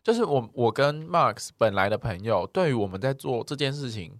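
A man's voice: mid-range voice, expressive, fairly quick, no room sound, clean studio sound. A man with a Taiwanese Mandarin accent chatting casually and expressively as a podcast co-host, with occasional English words mixed in. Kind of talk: casual chat podcast, reading listener replies.

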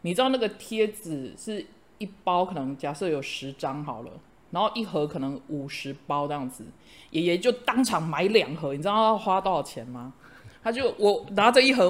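A middle-aged woman hosting a relaxed conversation. She tells stories with lively, animated energy and breaks into laughter often. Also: lowish voice. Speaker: host, teacher. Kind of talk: casual chat.

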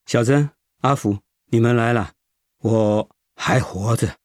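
A man's voice: medium pitch, slow pace, a little mellow, no room sound, clean studio sound, lightly acted. A man playing a despairing but stubborn young cancer patient in a radio drama. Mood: pained, weary.

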